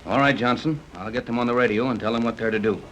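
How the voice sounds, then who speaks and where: A commanding hero captain from a 1930s adventure serial, played by a male actor. He gives decisive orders in a middle-pitched voice. The old radio recording carries some noise. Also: quick pace, fairly bright tone, highs cut off, lightly acted. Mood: brisk, commanding.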